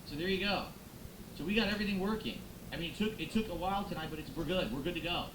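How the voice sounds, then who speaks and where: A man's voice: high-pitched, fast. A man live-streaming on a close microphone, chatting casually with viewers, thanking them and musing aloud as he goes. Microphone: close XLR microphone. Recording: echoey room with background noise.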